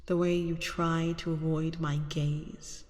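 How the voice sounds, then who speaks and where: A woman playing a haughty, seductive vampire queen in an ASMR roleplay, teasing and gloating over her captive with deliberate phrasing and breathy whispers. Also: low voice, level tone, a little mellow, big-room sound. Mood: smug, coaxing.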